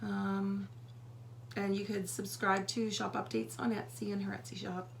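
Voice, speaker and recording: A woman with a hoarse, scratchy voice and a gravelly, husky texture. She chats casually as a podcast co-host and rambles, with plenty of fillers. Her pitch sits in the middle for a woman, and a faint noise lies under the recording. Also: level tone, normal speed. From Canada. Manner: chatty and rambling, with many fillers. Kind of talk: casual chat.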